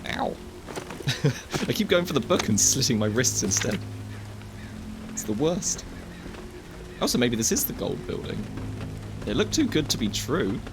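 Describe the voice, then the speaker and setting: Young man hosting a gaming video, chatty and wry, cracking jokes as he explores, in a mid-range voice with game music clearly playing behind him. He talks straight into a close mic. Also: mid-range voice, big pitch swings, unhurried, long pauses. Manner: chatty, joking, wry asides to the viewer. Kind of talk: casual let's-play commentary.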